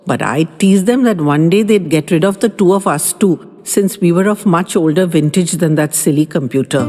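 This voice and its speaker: Warm, husky woman narrating a gentle story. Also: fairly low pitch, in a large hall. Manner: gentle, reminiscing first-person telling.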